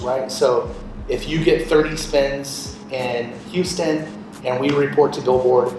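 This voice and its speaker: Man in his late thirties, very echoey room. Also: mid-range voice. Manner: conversational, explanatory, checks in with rhetorical questions. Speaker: radio programmer, guest speaker. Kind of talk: informal instructional talk to a group. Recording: echoey room, distant mic, loud background noise.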